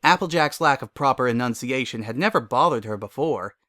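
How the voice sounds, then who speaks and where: A man narrates a scripted story in a medium-pitched voice. The recording is dry, with hardly any room sound. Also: animated, a little fast, bright, forceful tone, no echo.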